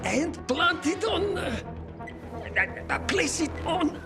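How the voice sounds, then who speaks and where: An actor playing an excitable older bomb maker in a video game, stammering and theatrical as he fumbles for words. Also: extremely high pitch, slow, vocal fry, music in the background, heavily acted. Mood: frantic. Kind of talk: dramatic video game acting.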